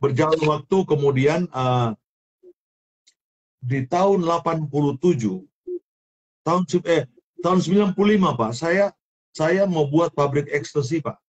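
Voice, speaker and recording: A man's voice: on the high side, low-quality recording. A middle-aged man with a strong Sulawesi accent, telling his life story as an interview guest, often repeating and restarting his words. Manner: repetitive, halting, many restarts. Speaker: guest, former film actor turned minister. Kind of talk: testimony interview.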